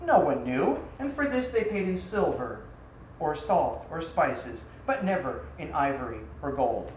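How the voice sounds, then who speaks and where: A man reading his own story aloud as a theatrical storyteller, with a dramatic, deliberate delivery. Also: on the high side, big pitch swings, darkish tone. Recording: roomy echo, faint background noise.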